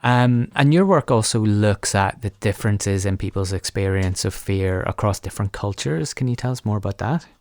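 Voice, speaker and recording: A man with a fairly low voice, recorded close and dry. He is a podcast host chatting with a guest. His questions come out tentatively and wander as he builds them. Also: normal speed, few pauses, darkish tone, dry recording. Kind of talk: conversational interviewing.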